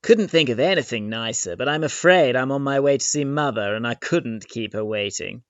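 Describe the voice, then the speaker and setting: A man playing an eager, courteous young gentleman suitor in a period audio drama. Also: mid-range voice, normal speed, no echo, lo-fi sound, close to an everyday voice. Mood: cheerful, courteous. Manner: polite, genteel.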